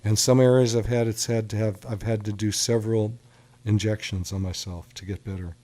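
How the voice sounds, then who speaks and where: A man's voice: fairly low pitch, level tone, fairly quick, dark tone. A man hosting a radio call-in show as a doctor, chatty and reassuring with callers.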